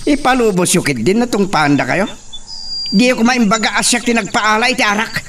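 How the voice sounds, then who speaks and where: A man's voice: high-pitched, a little fast, a bit bright. A man with an Ilocano accent playing a hot-tempered, imperious young master of an estate in a radio drama. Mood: irritated, impatient. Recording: distant mic, noisy cricket ambience.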